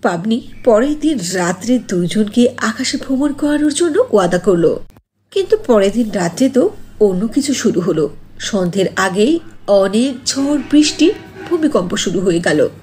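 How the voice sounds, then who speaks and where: A woman narrating a scripted cartoon fairy tale in an animated, expressive way. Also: medium pitch, moderate pace, husky.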